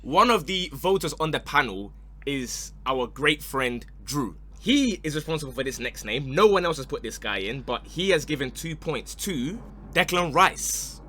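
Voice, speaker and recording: A man's voice: a little high, lively intonation, normal speed, pushed and bright. A man hosting a casual football panel, slangy and teasing in his delivery. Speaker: podcast host.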